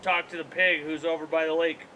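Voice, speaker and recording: A man's voice: on the high side, level tone, fairly quick, pushed and bright, slight background noise. A man voicing lazy storybook farm animals who are full of casual excuses but eager for food. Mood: breezy, evasive.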